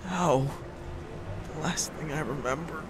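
A man's voice: fairly high pitch, measured pace, lightly acted. A man voicing a wounded, wrathful golden dragon in a melodramatic comic dub. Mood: dazed, pained. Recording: loud music over the voice.

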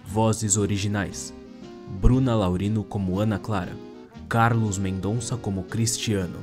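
A Brazilian man announces credits from a script in a fairly low voice. His pace is slow, and music plays clearly behind him. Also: steady tone.

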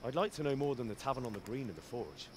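A man's voice: mid-range voice, lively intonation, fast talker, slight background noise, close to an everyday voice. An actor playing a restless young village man, a blacksmith's son, earnest and informal in a game scene. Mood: eager, curious. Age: young adult. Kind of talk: acted game cutscene dialogue.

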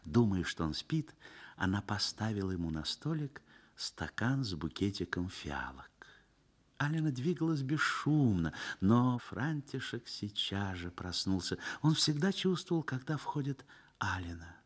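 Expressive male radio-play narrator. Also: fairly low pitch, unhurried. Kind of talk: dramatic literary narration in a Soviet radio play.

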